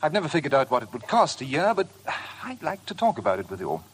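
An actor playing a smug, suave blackmailer with an insinuating, mock-polite manner in a 1950s radio drama. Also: male voice, on the high side, wildly swooping pitch, fast. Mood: smug, needling. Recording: noisy old 1950 radio broadcast.

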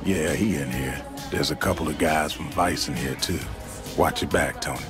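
Very deep-voiced club doorman. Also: normal speed, music in the background. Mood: guarded. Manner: terse. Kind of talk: video game cutscene acting.

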